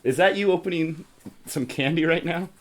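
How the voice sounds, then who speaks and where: A man's voice: fairly high pitch, normal speed. A man hosting a show in casual chat, with a teasing, dryly dismissive way of bantering. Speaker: host of a board game show.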